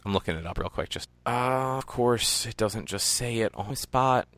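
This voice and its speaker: A man's voice: medium pitch, moderate pace, fairly bright tone. A man hosting a casual gaming chat, blunt and impatient, with some swearing.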